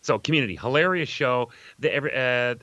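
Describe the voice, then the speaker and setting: A middle-aged man chats casually as co-host of a comedy show. His voice sits at an ordinary pitch, recorded dry and free of room sound, and he rambles jokily through his stories with exclamations along the way. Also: fast, sharp tone, no echo, highs cut off. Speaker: co-host of a live comedy film-riffing show. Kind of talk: casual chat, comic storytelling.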